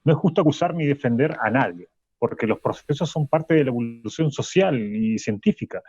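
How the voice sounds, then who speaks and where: A reflective, husky professor. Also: male voice, medium pitch, animated, a little mellow, no echo. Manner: reflective, measured, pausing. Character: thoughtful man, professor looking back on the past. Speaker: social sciences professor on radio. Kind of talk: radio drama acting. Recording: dull, lo-fi dry recording.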